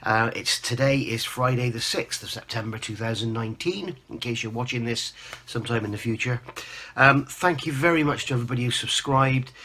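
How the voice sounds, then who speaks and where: Give this to a man with a husky, croaky voice that still has a bright, forceful edge. He is hosting a hobby update, talking casually and informally as he rambles through what he has been working on. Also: medium pitch, fairly quick. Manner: chatty, informal, rambling show-and-tell. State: croaky, recovering from losing his voice. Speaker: host of a scale modelling channel.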